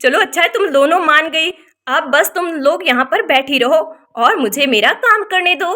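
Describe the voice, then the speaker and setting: A woman's voice: high voice, animated, normal speed, bright, forceful tone. Woman voicing a scheming, bossy aunt. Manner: mischievous, bossy. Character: scheming middle-aged aunt.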